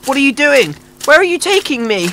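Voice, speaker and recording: A woman voices a reckless, carefree talking duck with a high, bright voice that swings about in pitch. The duck is cocky and slangy, in a radio drama style. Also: sing-song, brisk, a little hiss or hum, exaggerated voice. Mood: indignant. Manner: slangy, dude-ish, carefree. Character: Hoisin, laid-back, cocky, reckless magical talking duck.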